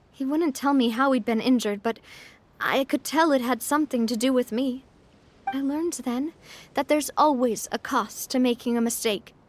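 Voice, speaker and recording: A woman's voice: high voice, a little hiss or hum. A woman voicing a cheerful, confident young noblewoman who laughs easily and turns wistful when reflecting, in a video game. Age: young adult. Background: soft game music.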